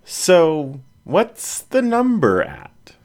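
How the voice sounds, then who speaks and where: A man's voice: a little high, huge pitch swings, drawn-out words, voice pushed up, exaggerated voice. A man doing a teasing, frank border collie woman. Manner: playful, frank. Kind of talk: storybook reading.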